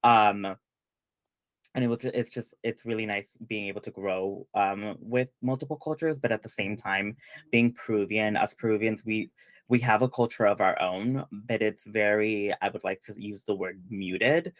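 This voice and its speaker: A man's voice: on the low side, moderate pace, dry recording. A young man from Peru hosting a casual panel chat, rambling with lots of fillers. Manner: chatty, many fillers and restarts. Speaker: panel host.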